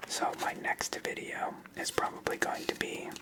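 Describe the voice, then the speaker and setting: A person whispering ASMR-style commentary as the host of a card game channel, in a soft, unvoiced manner. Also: moderate pace.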